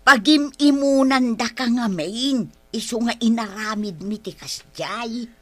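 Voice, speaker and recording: A woman's voice: a little high, measured pace, fairly bright tone, dry recording. An actress with an Ilocano Filipino accent playing a dramatic village woman in a radio comedy. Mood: pleading.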